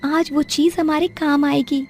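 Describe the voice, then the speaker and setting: A woman's voice: sits high, level tone, a little slow, a little mellow, pitched above the performer's own voice. A woman voicing a cheerful, eager young daughter-in-law who exclaims her lines in a family story. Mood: excited, hopeful.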